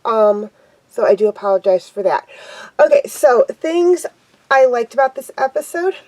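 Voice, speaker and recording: A young woman with a cold and a stuffed-up, nasal voice, hosting a casual TV episode review and talking haltingly with many pauses and ums. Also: fairly high pitch, animated, darkish tone, rough recording. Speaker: show host reviewing TV episodes.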